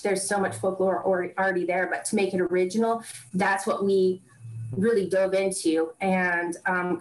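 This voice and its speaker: A woman film director chatting in a video interview, talking with energy and repeating phrases for emphasis. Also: on the low side. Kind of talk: casual interview chat. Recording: echoey room.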